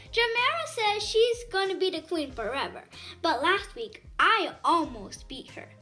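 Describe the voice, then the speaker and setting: Lady reading a kids book out loud, really high voice, super expressive, bit of music behind. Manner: animated, dramatizes dialogue. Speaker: read-aloud storyteller. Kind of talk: expressive children's picture book read-aloud.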